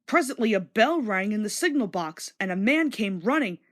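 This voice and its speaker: A woman's voice: medium pitch, lively intonation, normal speed. A woman narrating a children's storybook read-along from a script.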